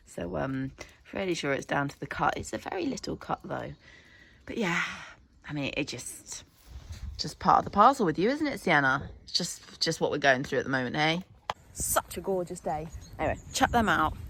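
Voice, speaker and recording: A young woman with a croaky voice, chatting casually in a rambling way as she vlogs about her horse. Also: deep voice, fairly bright tone, slight background noise. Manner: chatty and rambling, laughs often. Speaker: vlogger, horse owner. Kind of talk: casual vlog chat. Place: outdoors at a stable yard, then in a car.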